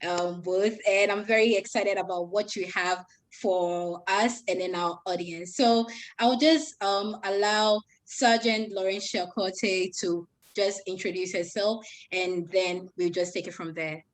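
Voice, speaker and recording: A woman's voice: mid-range voice, fairly even intonation, measured pace. Woman host, mid voice, courteous and a bit hesitant. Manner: polite, hesitant with fillers. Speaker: session host. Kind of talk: hosting an online session.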